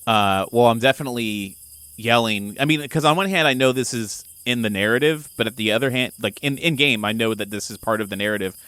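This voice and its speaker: A man's voice: medium pitch, brisk, sharp tone, clean tone, no echo. A man playing a tabletop role-playing game, improvising casually and thinking aloud in informal language.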